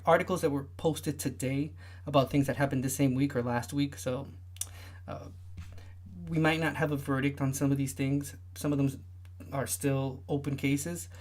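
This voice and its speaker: A young man with a high-pitched voice that often gets taken for a woman's, hosting a casual livestream and rambling with lots of fillers and restarts. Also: a little mellow. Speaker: livestream host.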